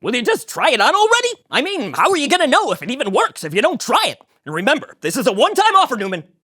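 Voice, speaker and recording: A woman voicing an eccentric, excitable male neighbor who pitches schemes at speed. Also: husky, heavily acted. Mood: excited. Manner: rapid, excitable salesman pitch. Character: fast-talking eccentric man, scheming neighbor.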